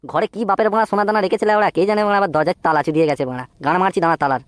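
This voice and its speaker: A man's voice: sits high, racing, clean tone, no echo, studio quality, close to an everyday voice. A man voicing a foul-mouthed, blustering young thief in a comic cartoon. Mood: brash scheming. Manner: swearing constantly, blustering. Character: foul-mouthed brash young male neighbourhood thief, defiant.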